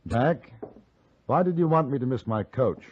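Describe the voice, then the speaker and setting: An actor playing a courteous, educated English gentleman reporter, refined and polite, with an English accent, in 1950s radio Western style. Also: medium pitch, animated, moderate pace, slight background noise, natural voice. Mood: amused, curious. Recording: muffled 1950s radio recording, music behind.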